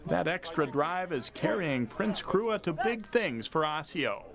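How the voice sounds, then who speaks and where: A man's voice: a little high, animated, measured pace, creaky, a crowd in the background. A man with an American accent reading scripted broadcast narration as a sports reporter.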